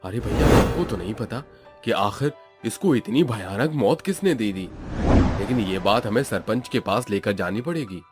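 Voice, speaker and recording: A man's voice: on the low side, expressive, normal speed, natural voice. A man playing a concerned, cautious village worker in an audio story, earnest in delivery. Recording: very loud music under the voice.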